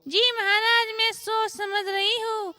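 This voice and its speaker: A woman voicing an earnest female fox in a cartoon fable, with a squeaky, childlike voice. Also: flat delivery, slow, sharp tone, no echo, heavily acted. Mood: earnest, eager. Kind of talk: animated moral story acting.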